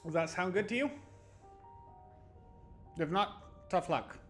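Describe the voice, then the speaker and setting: A man's voice: high voice, slow pace, fairly bright tone. A man hosting a livestream, chatting casually with viewers in a rambling, stop-start way over background music. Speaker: live streamer and host. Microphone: new close microphone, no webcam.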